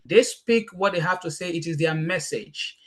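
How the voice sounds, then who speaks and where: A Nigerian-accented man, Yoruba by first language, answering questions on a panel. His voice sits a little high, and he talks fast and emphatically, repeating words when he gets excited. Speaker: guest speaker.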